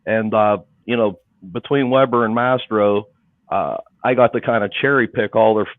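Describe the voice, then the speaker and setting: A man in his fifties, chatting casually on a podcast, halting and stammering as he talks. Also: fairly low pitch, steady tone, no room sound. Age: 50s. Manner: halting, stammers and restarts, laughs into his words. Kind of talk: casual chat. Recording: dry, tinny remote call line.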